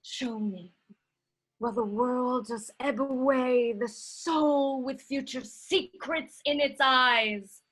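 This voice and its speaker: A woman playing an ambitious, defiant noblewoman who conjures spirits, speaking deliberate, incantatory verse in a staged play reading. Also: high voice, breathy edge, heavily acted, rough recording. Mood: hungry, resolute. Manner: deliberate, incantatory, swinging between hushed and declaimed.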